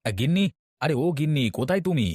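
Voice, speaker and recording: A man's voice: on the high side, animated, fast, a little mellow, no echo. A man voicing a considerate young newlywed husband in a cartoon, coaxing and reassuring. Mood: concerned. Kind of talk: cartoon dialogue. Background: background music.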